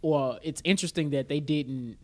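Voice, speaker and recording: A man's voice: a little high, moderate pace. A man co-hosting a casual chat podcast, slangy and agreeable, often repeating himself for emphasis. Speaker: podcast co-host. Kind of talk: casual chat about superhero films and shows.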